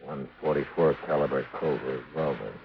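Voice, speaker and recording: An actor with an American accent playing a steady, dry, no-nonsense frontier marshal in an old radio western. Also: male voice, very deep voice, moderate pace, vocal fry, slightly rough, dull audio, exaggerated voice. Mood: businesslike. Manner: terse, dry, probing. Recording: thin, noisy old radio recording.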